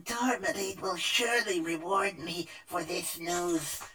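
A woman playing a nervous female spy for an evil fairy, stammering in hushed, hurried bursts. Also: lowish voice. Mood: anxious, eager. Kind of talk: audio drama acting.

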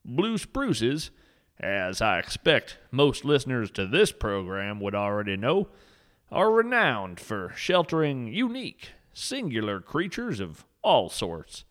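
A man's voice: mid-range voice, wildly swooping pitch, slow, fairly bright tone. A man hosting and narrating a whimsical scripted nature podcast with an earnest, poetic, mock-solemn delivery.

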